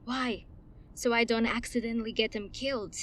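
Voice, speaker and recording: A woman's voice: a little high, fairly even intonation, moderate pace, a bit bright, roomy sound, slight background noise, natural voice. A woman voicing a guarded, sardonic young fighter with a dry way of talking. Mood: wry.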